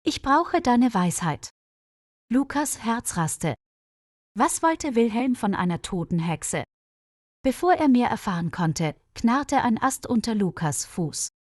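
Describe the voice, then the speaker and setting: A woman reading a scripted mystery story for language learners in a measured, careful storyteller's delivery. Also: fairly high pitch, big pitch swings, dry recording, studio quality.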